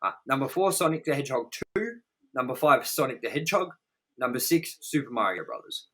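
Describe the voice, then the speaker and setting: A man's voice: mid-range voice, expressive, a little fast, pushed and bright. A man co-hosting a casual, opinionated film review chat, speaking in a blunt, sweary, exaggerating way. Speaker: co-host of a movie review show.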